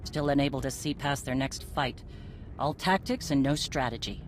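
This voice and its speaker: An actress playing a stern, battle-hardened warrior leader in a video game, blunt and commanding. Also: female voice, very deep voice, moderate pace, a little hiss or hum. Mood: frustrated, guarded. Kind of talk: video game dramatic acting. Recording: music under the voice.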